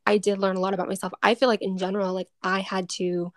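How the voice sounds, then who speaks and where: A woman in a casual chat, quick with affirmations and supportive remarks. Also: medium pitch, flat delivery, no room sound, clean studio sound.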